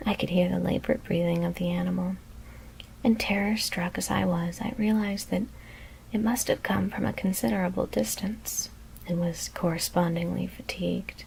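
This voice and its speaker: A woman whispers a scary story in a hushed, deliberate ASMR narration. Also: on the low side, steady tone, vocal fry, slight background noise, poor audio.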